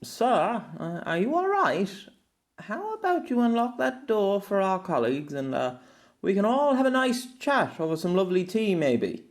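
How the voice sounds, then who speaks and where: A nervous policeman, hesitant and placating, pitched high with a thin, altered tone, as a narrator puts him on. He speaks softly, stumbling over his offers. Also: very animated, normal speed. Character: nervous plainclothes male police officer.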